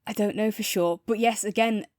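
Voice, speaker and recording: A woman's voice: medium pitch, steady tone, normal speed, darkish tone, no room sound, clean studio sound. A woman co-hosting a podcast, talking casually through a review.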